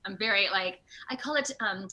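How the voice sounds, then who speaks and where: An American woman talking casually in an interview over a video call, with an animated, rambling, self-deprecating manner. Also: medium pitch, normal speed. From Ohio, United States. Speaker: actor being interviewed.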